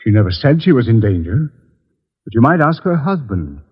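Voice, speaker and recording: On a dull vintage radio recording, a puzzled murder suspect. His medium-pitched voice is animated and earnest, politely literal in the old radio-play manner. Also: normal speed, slightly rough. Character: literal-minded, bewildered male murder suspect. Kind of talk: 1940s radio acting.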